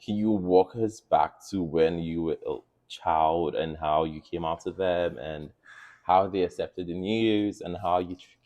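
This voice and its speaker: A man's voice: sits low, a little slow, warm tone. A male host running a casual interview chat. He gushes, laughs a lot, and leans on filler words.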